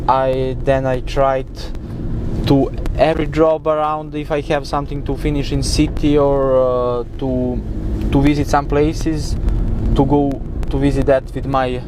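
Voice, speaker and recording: Young Serbian-accented man, noisy background. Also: medium pitch. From central Serbia. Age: 20s. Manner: halting, simple non-native English. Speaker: personal trainer and vlog subject. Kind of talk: casual talk to camera.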